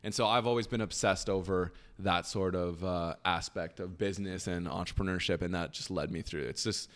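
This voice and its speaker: A young man chatting casually as a podcast guest, rambling with lots of fillers and trailing off quietly. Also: sits low, steady tone, brisk. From Canada. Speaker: podcast guest, business founder. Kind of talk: casual conversation.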